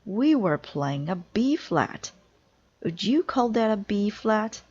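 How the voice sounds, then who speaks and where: Lady doing strict fed-up conductor guy from a kids book, slow, stern, husky, slightly dull sound. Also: mid-range voice, sing-song, darkish tone, voice pushed up, full character voice. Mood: exasperated. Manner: stern, emphatic, impatient. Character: exasperated, strict male orchestra conductor. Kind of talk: children's storybook read-aloud.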